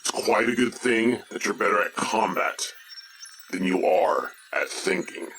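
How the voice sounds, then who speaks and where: A man playing a haughty alien villain count in an audio drama, gravelly, disdainful and menacing. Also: lowish voice, lively intonation, a little slow, fairly bright tone, a little hiss or hum. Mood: cold contempt.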